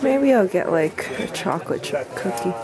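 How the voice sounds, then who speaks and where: A woman's voice: fairly low pitch, very animated, normal speed, poor audio. A young woman vlogging casually and thinking aloud at a busy mountain lodge, talking into a handheld camera. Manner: chatty, playful, thinking aloud. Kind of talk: casual chat. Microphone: handheld camera held close. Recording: crowd chatter in the background.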